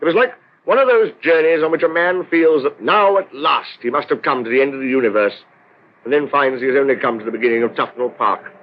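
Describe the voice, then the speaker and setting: A man's voice: mid-range voice, quick pace, natural voice. Actor as formal male radio-drama narrator, dull sound. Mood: measured, wry. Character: literary male narrator addressing the listener. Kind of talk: scripted dramatic storytelling, old-time radio. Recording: dull old radio recording.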